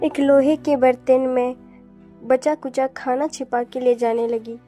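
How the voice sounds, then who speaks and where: A woman's voice: sits high, steady tone, a little slow, dark tone, soft background music. A woman narrating a scripted children's fairy tale.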